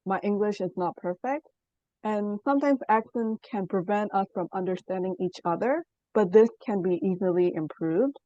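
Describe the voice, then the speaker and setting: A young woman from Burma, a native Burmese speaker, giving a student presentation in a measured, explanatory way with frequent pauses. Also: on the low side, dark tone, no echo, dull audio. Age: young adult. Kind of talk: class presentation.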